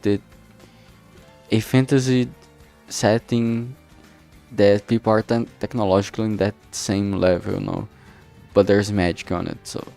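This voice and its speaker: A man's voice: on the low side, vocal fry, mellow. A man chatting casually on a work stream, thinking aloud hesitantly and often trailing off. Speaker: streamer making a visual novel.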